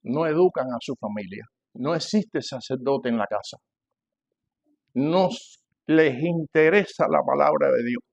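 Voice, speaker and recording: An older man with a Cuban Spanish accent preaching to a congregation, emphatic and repeating phrases, swinging between loud and hushed delivery. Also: medium pitch, unhurried, vocal fry. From Cuba. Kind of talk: sermon, teaching a church congregation.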